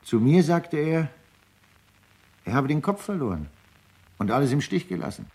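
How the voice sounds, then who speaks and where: A man's voice: fairly high pitch, sing-song, moderate pace, a little mellow, breathy edge. A man in a radio play, playing a grave witness who gives testimony. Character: grave male witness relaying another man's account.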